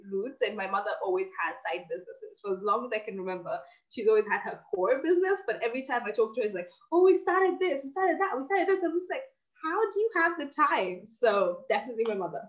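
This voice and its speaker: A woman's voice: a little high, rough recording. A woman in her twenties from Kenya, a guest on a casual interview over a video call, speaking confidently and articulately, recorded on a laptop webcam at home. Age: 20s. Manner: confident, articulate, laughs easily. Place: home office with bookshelves.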